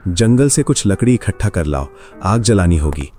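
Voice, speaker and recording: A man's voice: deep voice, sing-song, normal speed, warm tone, soft background music. An actor playing a poor, dejected family father in a cartoon story, with a heavy, sorrowful manner. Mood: weary.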